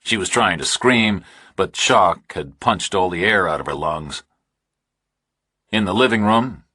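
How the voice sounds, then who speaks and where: A man reads scripted thriller fiction in a very low, husky voice. His delivery is measured and precise, each detail set out deliberately. The recording is dry and close, with no room sound. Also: pushed and bright, dry recording. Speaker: audiobook narrator.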